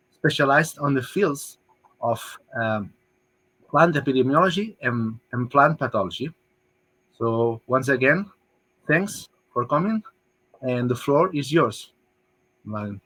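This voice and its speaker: A man's voice: medium pitch, animated, measured pace, pauses often, a bit bright, husky, rough recording. A man acting as session host, introducing a speaker at an online conference.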